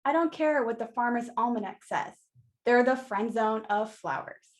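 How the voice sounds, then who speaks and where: A woman playing a sassy, blunt, no-nonsense flower shop keeper in a radio play, brisk, wry and teasing in manner. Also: fairly high pitch, close to an everyday voice. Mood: advising.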